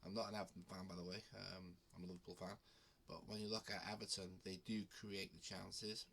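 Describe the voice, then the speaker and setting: A man's voice: sits low, little pitch movement, fairly quick, vocal fry, fairly bright tone, husky. A man with a cold hosting his betting video, talking casually with frequent ums and restarts. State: has a cold. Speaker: betting channel host. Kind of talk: casual talk to camera.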